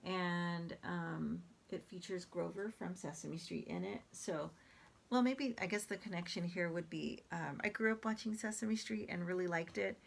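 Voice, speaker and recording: A woman reading a picture book aloud in a chatty, hesitant way, pausing to explain the pictures. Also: on the low side, gravelly, slight background noise. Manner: chatty, hesitant with many fillers. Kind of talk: casual read-aloud with commentary.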